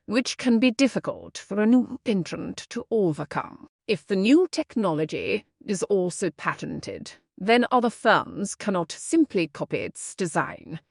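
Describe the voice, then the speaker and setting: A woman's voice: mid-range voice, very animated, measured pace, gravelly, few pauses, slightly rough, no room sound, clean studio sound. A woman reading a formal practice passage aloud with careful enunciation.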